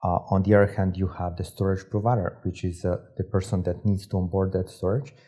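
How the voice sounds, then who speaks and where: A man's voice: low voice, flat delivery, a little fast, dark tone, slightly rough. A young man from Serbia, a guest in a studio interview, explaining his work with many fillers and restarts on a lapel mic. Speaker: guest, startup operator. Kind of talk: casual interview talk. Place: studio set with armchairs.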